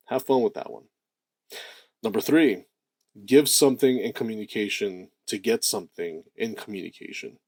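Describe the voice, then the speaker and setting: A man with a deep voice giving a motivational talk as a self-improvement host, animated, stressing key words and drawing out phrases. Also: dry recording.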